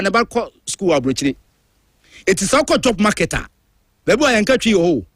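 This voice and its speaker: High-voiced Ghanaian radio host, dry and close. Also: male voice, no echo. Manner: emphatic, animated, switches between languages. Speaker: radio drive-show host. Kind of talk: opinionated talk-radio monologue. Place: radio studio.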